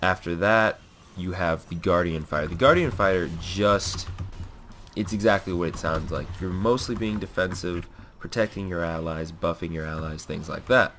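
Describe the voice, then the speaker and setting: A man's voice: low voice, normal speed, fairly bright tone, soft background music. A young man with a cold, giving rambling casual commentary on his own gameplay, with lots of fillers and self-corrections. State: has a cold.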